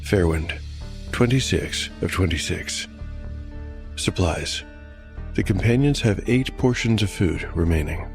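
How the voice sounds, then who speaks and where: A man with a very low voice reads dark fantasy narration as a game master. He is solemn and measured, enunciating each phrase. Music plays clearly behind the words. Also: lively intonation.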